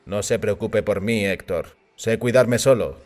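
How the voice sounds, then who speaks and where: Audio fiction: male narrator as confident, dismissive middle-aged road fighter, lowish voice. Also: unhurried, a little mellow, lightly acted. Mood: self-assured. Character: self-assured middle-aged male combat driver, gruff and proud.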